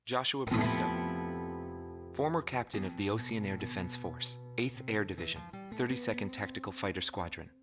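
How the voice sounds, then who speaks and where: An actor playing a grave documentary reporter, delivering solemn scripted narration about a war's aftermath. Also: male voice, lowish voice, normal speed, over loud music, natural voice. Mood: factual, sober.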